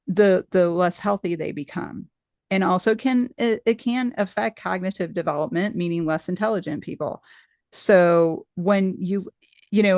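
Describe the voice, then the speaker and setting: Host, middle-aged woman, gravelly low edge to the voice, dry close sound, musing and digressive. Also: darkish tone, dry recording. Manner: thoughtful and rambling, many restarts. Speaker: podcast host interviewing a guest. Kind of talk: casual conversational interview.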